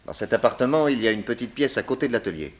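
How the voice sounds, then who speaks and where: An actor playing a calm, methodical male detective in a radio serial, reassuring and measured as he explains. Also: medium pitch, natural voice.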